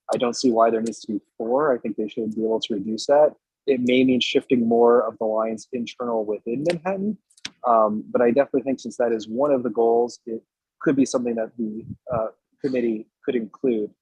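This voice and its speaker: A hoarse man speaking up at a community board meeting, hesitant with many fillers but polite. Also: mid-range voice, a little fast, darkish tone, no echo, low-quality recording. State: hoarse from illness. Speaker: public commenter.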